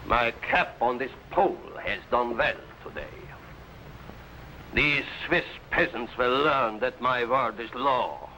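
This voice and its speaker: An actor playing a tyrannical, sneering governor who speaks in archaic, threatening phrases in an old adventure serial. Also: male voice, medium pitch, expressive, slow pace, pushed and bright. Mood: imperious, gloating. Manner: imperious, archaic, threatening speech. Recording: noisy old broadcast recording.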